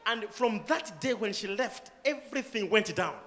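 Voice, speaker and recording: A man leading a live church service as a prophet, questioning someone and growing to shouts. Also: sits high, expressive, moderate pace. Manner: questioning, repeats "Huh?", rising to shouts.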